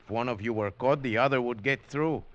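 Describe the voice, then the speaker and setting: A man playing a smooth, menacing Central American gun buyer in a 1940s radio drama, speaking in a silky, measured way. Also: mid-range voice, close to an everyday voice. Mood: cool, menacing. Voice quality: silky, measured, quietly threatening. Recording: dull lo-fi 1940s radio broadcast.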